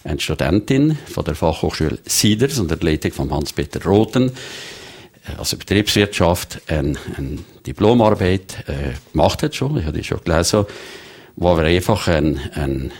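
A man's voice: sits low, very animated, moderate pace, darkish tone. A man in his sixties with a Swiss German Valais accent, a guest in a studio interview who talks with many fillers and restarts. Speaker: guest, early retiree.

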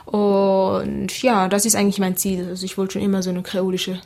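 Woman, flat even speech. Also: medium pitch, moderate pace, gravelly. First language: Creole. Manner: relaxed, hesitant with many fillers. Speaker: singer guest. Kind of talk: casual interview answers.

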